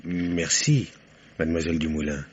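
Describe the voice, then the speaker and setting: An actor playing a wry, self-assured police inspector who speaks with ironic politeness in a French radio crime drama. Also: male voice, a bass voice, flat delivery, normal speed. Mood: ironic, triumphant. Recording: dull, noisy old radio sound.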